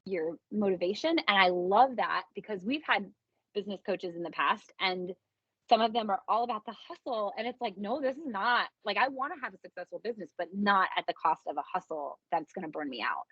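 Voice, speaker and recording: A woman's voice: mid-range voice, animated, brisk, breathy edge, no room sound. Woman podcast host, dry muffled sound. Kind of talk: casual podcast interview.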